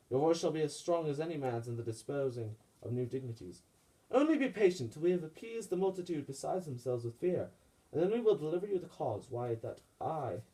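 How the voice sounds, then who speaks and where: A man's voice: fairly high pitch, mellow, rough recording. A man playing an earnest, honourable Roman conspirator in a puppet-show Shakespeare, speaking formal, declamatory verse persuasively.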